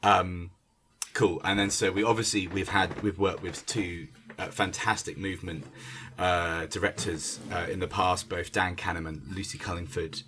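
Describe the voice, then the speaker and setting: A man's voice: low-pitched, fairly even intonation, moderate pace, a bit bright. A man speaking as a theatre director in an unscripted conversation, hesitant and searching, often repeating and restarting his words. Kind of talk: unscripted conversation introducing a creative team. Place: remote internet call. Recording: online call recording.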